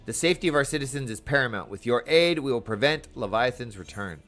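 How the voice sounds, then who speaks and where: A man's voice: mid-range voice, lively intonation, fast talker, a bit bright, close to an everyday voice. A man voicing a stern, earnest garrison commander from a fantasy game, speaking in formal, archaic military language. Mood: formal, resolute. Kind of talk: reading game dialogue aloud in character.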